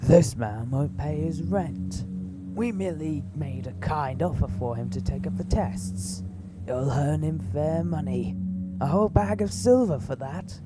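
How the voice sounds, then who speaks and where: A man's voice: a little high, measured pace, close to an everyday voice. A man playing a bullying, corrupt officer in an audio drama, mocking and menacing as he draws out his words. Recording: loud music over the voice.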